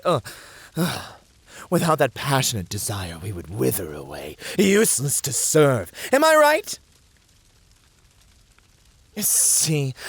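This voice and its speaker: A man's voice: medium pitch, fairly bright tone, dry recording, heavily acted. A man playing a flamboyant, passionate incubus rival in a flirty ASMR roleplay, with a teasing, theatrical delivery. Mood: dramatic, indignant.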